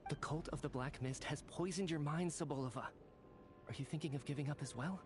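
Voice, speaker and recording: An actor playing a resolute survivor leader in a video game, stern, philosophical and exhorting. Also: male voice, fairly high pitch, brisk, noisy background, close to an everyday voice. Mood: solemn. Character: resolute male survivor leader urging others onward. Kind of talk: dramatic video game voice acting.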